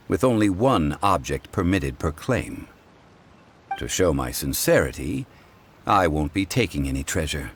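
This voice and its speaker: An actor voicing a calm, composed male consultant in a video game, speaking in a measured, formal way. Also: sits low, slight background noise, exaggerated voice. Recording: game score playing faintly.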